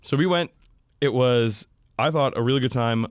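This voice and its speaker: A man's voice: mid-range voice, normal speed, no room sound, clean studio sound. A man co-hosting a podcast in casual hobby chat, talking with enthusiasm and some swearing. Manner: enthusiastic, profane. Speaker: podcast co-host. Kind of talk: casual hobby chat about tabletop wargaming.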